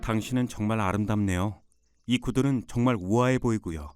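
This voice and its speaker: An actor playing a charming, courtly young prince in a cartoon, speaking formally over background music. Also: male voice, lowish voice, a little fast, a little mellow, smooth, close to an everyday voice.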